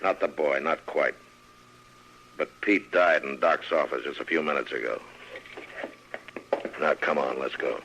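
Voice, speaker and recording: An actor playing a stern, tough frontier marshal in an old-time radio western, terse and authoritative. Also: male voice, rumbling low, steady tone, unhurried, pauses often, a bit bright, slight background noise, full character voice, poor audio.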